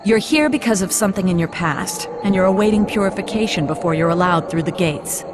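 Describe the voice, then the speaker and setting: Low-voiced, composed female afterlife guide played by a woman. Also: expressive, a little fast, natural voice. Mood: composed, explanatory. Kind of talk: video game cutscene acting. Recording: loud music behind the voice. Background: loud music.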